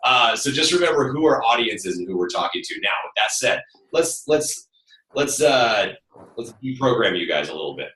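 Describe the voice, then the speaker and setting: A man with a booming voice, a fitness trainer explaining things casually and emphatically on a call, a little away from his computer microphone. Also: very animated, moderate pace, pushed and bright. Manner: direct, emphatic, often says "look". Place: echoing room, online call. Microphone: computer, some distance away. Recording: roomy, distant computer microphone.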